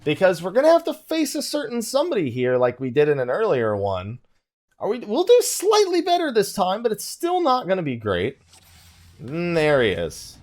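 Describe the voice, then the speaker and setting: A man's voice: high-pitched, huge pitch swings, moderate pace, a little mellow. A man giving casual let's play commentary, rambling and thinking aloud as he plays. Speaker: gaming YouTuber hosting a let's play.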